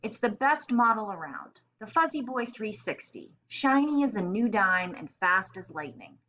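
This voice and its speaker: Smug, exclamatory small male hamster for a storybook, performed by a woman; lively delivery, slightly dull recording. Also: mid-range voice, moderate pace, a little hiss or hum. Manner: self-satisfied, exclamatory, asks and answers himself. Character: boastful, excitable little male hamster diarist.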